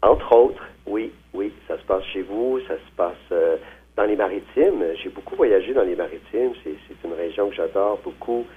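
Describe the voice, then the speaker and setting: Invité masculin, voix grave et voilée, hésitant, ligne téléphonique grêle. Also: male voice, low-pitched, normal speed, slightly rough. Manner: hesitant, frequent fillers and restarts. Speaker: novelist guest. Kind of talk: phone interview. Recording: tinny phone line.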